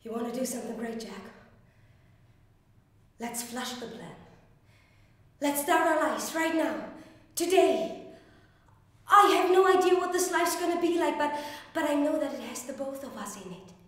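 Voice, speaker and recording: Anxious, devoted young girlfriend played by a woman in audition, high voice, earnest pleading, heavily echoing room. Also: fairly even intonation, moderate pace, pauses often, lightly acted. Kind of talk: dramatic audition monologue. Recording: very echoey room, faint noise.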